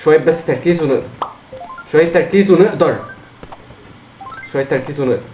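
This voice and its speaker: A hoarse man with an Egyptian Arabic accent, commenting on his own gameplay, muttering encouragement to himself and breaking into frustrated outbursts. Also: on the high side, quick pace, highs cut off. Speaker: gamer. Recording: echoey room with faint noise.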